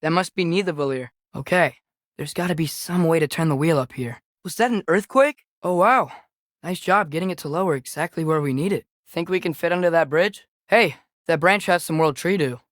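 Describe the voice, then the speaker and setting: An earnest, curious teenage boy played by a young man from a fantasy video game. His voice sits fairly high for a male and sounds youthful and conversational. The recording is close and dry. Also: fairly quick, fairly bright tone, no room sound, natural voice. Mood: curious, eager. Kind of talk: naturalistic video game voice acting.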